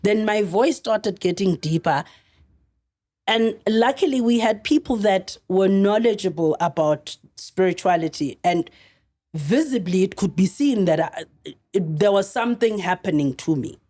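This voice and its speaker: A middle-aged South African woman telling a story as a podcast guest, earnest and halting, often restarting her sentences. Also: medium pitch, expressive, warm tone. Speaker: actress guest.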